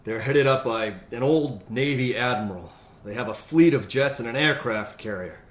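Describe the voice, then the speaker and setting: An actor playing a worldly, hard-bitten mercenary leader in an audio drama, explaining things matter-of-factly. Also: male voice, medium pitch, moderate pace, lightly acted. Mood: grim, explaining. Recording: echoey room.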